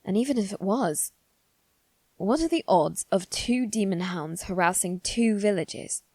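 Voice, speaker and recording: A woman voicing a haughty, anxious young noble boy in a halting, formal monologue for dramatic ASMR roleplay. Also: medium pitch, lively intonation, a little mellow, dry recording. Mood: hushed, fearful. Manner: halting, formal, self-reassuring delivery.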